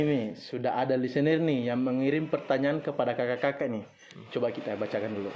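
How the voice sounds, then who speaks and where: A man's voice: a little high, darkish tone, slight background noise. A young man hosting a student campus radio talk show, chatty, prompting his guests and reading listener questions aloud. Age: young adult.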